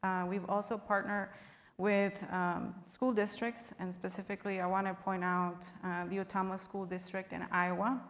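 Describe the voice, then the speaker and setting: A woman from Guatemala speaking on a panel, earnest and measured with occasional fillers. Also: mid-range voice, level tone. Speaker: panelist, business partner. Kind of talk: panel remarks. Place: large convention hall. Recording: reverberant hall sound.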